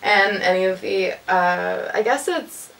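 A woman in her twenties answers questions casually and haltingly. Her voice is creaky at a middling pitch. The room echoes and the mic is a little distant. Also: fairly bright tone. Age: 20s. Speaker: interview guest, radio station creative director. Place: room with couches, amps and instruments.

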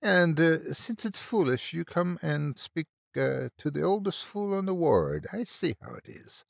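A man's voice: fairly high pitch, very animated, unhurried, vocal fry, no echo, studio quality, voice pushed up, full character voice. A man voicing a fierce, motherly old Irish woman, a salty, scolding hospital nurse in an audio story. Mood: teasing.

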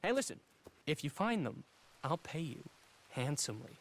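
An actor playing an eccentric, chatty young gentleman in a video game, rambling and hesitant with jaunty, quaint slang. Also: fairly high pitch, very animated, normal speed. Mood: nervous, earnest. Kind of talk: video game cutscene acting.

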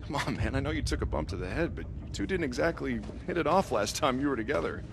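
A man's voice: mid-range voice, sing-song, fast talker, creaky, background noise. An actor playing a worried, exasperated lawman in his thirties in a video game, earnest and casual, sometimes hesitant. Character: worried, exasperated older brother, a young lawman. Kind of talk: cinematic video game acting.

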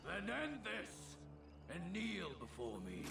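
Proud, gravelly samurai warlord. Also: male voice, high voice, big pitch swings, takes it slowly, exaggerated voice. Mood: defiant. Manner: imperious, declamatory. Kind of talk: dramatic video game acting. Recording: loud cinematic music behind.

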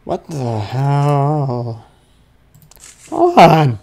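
A man's voice: medium pitch, very animated, extremely slow, vocal fry, darkish tone. A man giving casual, goofy let's play commentary on a horror game, talking to himself as he plays.

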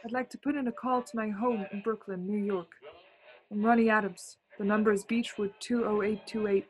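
A woman's voice: mid-range voice, steady tone, brisk, close to an everyday voice, low-quality recording. An actress playing a haunted young woman traveller in a suspense radio play, with a theatrical, brooding and fraught manner. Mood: shaky, subdued. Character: Ronnie Adams, haunted young woman traveller narrating her ordeal. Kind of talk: suspense radio play acting, dramatic monologue. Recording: music bed under home-recorded radio play.

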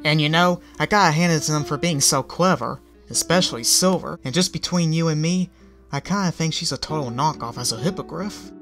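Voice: medium pitch, brisk, a bit bright, soft background music, close to an everyday voice. A reader voicing a cocky young male griffin who talks in a wry, casual way.

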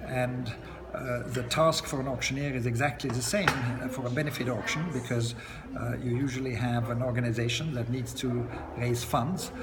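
A man in his sixties, an auctioneer, answering interview questions in a relaxed, chatty way with frequent "uh" pauses and light chuckles, filmed up close in an indoor lounge by large windows. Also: medium pitch, over loud music. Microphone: camera close to his face. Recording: long echo, loud crowd chatter behind.

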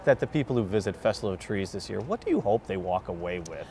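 A man's voice: fairly low pitch, expressive, fast, gravelly, dark tone, a little hiss or hum. An American man in his fifties, hosting a conversational television interview and presenting segment. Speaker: TV host and interviewer. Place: large exhibition hall, indoors.

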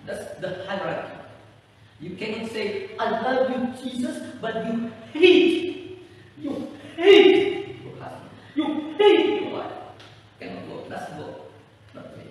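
A middle-aged man, a native Indonesian speaker, preaching a sermon as pastor, swinging from quiet lines to loud high-pitched rises while pacing and gesturing. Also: big pitch swings, slow. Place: large bare community hall, indoors. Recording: echoey hall, voice far from the mic.

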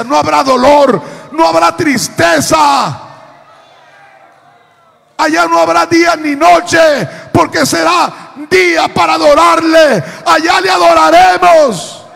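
Middle aged guy preaching, slow emphatic delivery, gravelly raspy voice, repeats his phrases, big echoey church hall. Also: very high-pitched, fairly bright tone. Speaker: pastor preaching. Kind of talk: impassioned sermon. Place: church hall with congregation, large indoor room. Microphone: handheld microphone through PA. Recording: echoey hall PA with long reverb.

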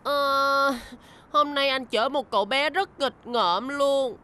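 A woman's voice: high voice, slow, heavy background noise. A woman voicing a kind, patient young city bus, easily flustered, in a dubbed children's cartoon. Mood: weary.

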